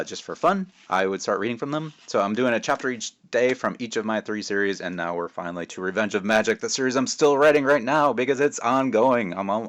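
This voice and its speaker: A man with a fairly low voice reads as a children's author. His delivery is casual and conversational, moving between informal asides and storybook narration. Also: a bit bright. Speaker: children's author reading his own book.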